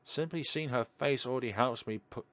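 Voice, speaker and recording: A man reading a visual novel aloud as a let's-play host, theatrical and drawn-out, with dry ad-libbed asides. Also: medium pitch, a bit bright, a little hiss or hum. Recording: prominent game music behind the voice. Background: video game music.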